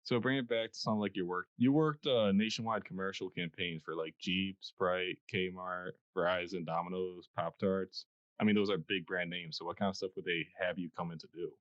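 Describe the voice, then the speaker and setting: Casting note: deep, low-pitched male podcast host, laid-back and joking, dull, dry sound. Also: steady tone, moderate pace, no room sound. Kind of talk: casual interview chat. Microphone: dynamic studio mic up close.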